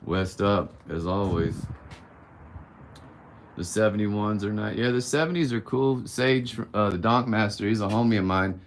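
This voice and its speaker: A man's voice: on the low side, measured pace, a little hiss or hum. A man around fifty hosting a casual live-stream Q&A, candid and blunt, rambling and swearing casually. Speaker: livestream host answering viewer questions.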